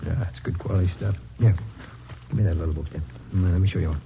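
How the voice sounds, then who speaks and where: A man's voice: sits low, steady tone, quick pace, vocal fry. An actor playing a sleazy, pushy man peddling dirty books in an old radio drama, wheedling and salesy. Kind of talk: 1950s radio drama acting. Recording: dull old radio recording with hiss.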